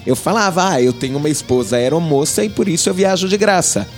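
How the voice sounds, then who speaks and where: A man's voice: fairly high pitch, fast talker, faint music behind. A man co-hosting a podcast, telling jokey stories in casual chat. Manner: animated, jokey storyteller. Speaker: podcast co-host.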